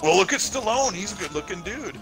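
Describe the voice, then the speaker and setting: A middle-aged man talks casually as a podcast guest. He is enthusiastic and laughs easily. His pitch moves a lot and stays lively. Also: quick pace, gravelly, music in the background. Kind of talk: casual chat.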